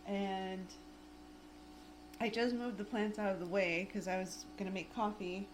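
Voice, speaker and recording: A woman in her thirties chatting casually at home in a rambling vlog, full of "like" fillers, her throat a little raspy. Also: mid-range voice, fairly even intonation, measured pace, slight background noise, rough recording. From United States. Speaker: lifestyle vlogger. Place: home, indoors.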